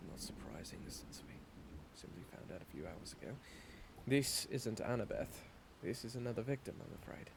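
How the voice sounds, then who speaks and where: A man's voice: fairly low pitch, animated, moderate pace, vocal fry, slight background noise. A man playing a solemn, skeptical small-town guard in a fantasy audio drama, formal and wary. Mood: somber. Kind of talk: fantasy audio roleplay acting.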